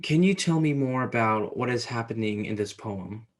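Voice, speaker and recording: A man's voice: medium pitch, animated, normal speed. Male moderator, mid-range voice, guiding a literary discussion with questions. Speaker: host, moderator. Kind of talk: moderating a book talk.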